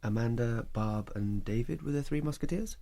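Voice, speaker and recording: A man playing a calm, probing psychiatrist in an audio drama, measured and soft-edged, with a husky voice. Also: mid-range voice, close to an everyday voice. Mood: quietly challenging. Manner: measured, gently challenging.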